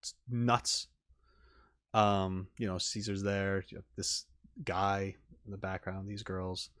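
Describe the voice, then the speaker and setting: Man giving rambling, halting casual commentary. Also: sits low, little pitch movement, fairly bright tone, no echo, studio quality. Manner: halting, self-interrupting, trailing off mid-thought; casual swearing. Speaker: reaction video host.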